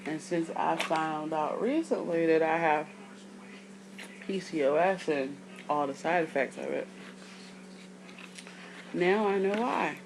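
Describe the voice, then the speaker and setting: A woman in her thirties with a deep voice, just out of bed, talking to her camera in a chatty, rambling way with side notes. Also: slow, creaky, pauses often, noisy background. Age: 30s. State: just woke up. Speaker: vlogger. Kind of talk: casual talk to camera.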